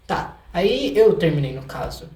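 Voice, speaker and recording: A man's voice: high-pitched, sing-song, measured pace, warm tone. A young Brazilian man chatting casually as an interview guest, informal and self-deprecating. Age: young adult. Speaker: interview guest, singer-songwriter. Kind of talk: casual chat. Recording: echoey room.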